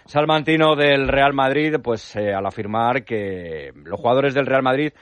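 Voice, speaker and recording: A man's voice: mid-range voice, animated, measured pace, a bit bright, dry recording. A man presenting the sports slot on a radio talk show, chatting fluently with many fillers.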